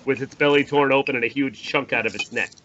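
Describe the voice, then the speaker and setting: A man's voice: medium pitch, steady tone, quick pace, fairly bright tone. A man narrating the stage directions of a screenplay in an informal table read. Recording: dull lo-fi audio with faint noise.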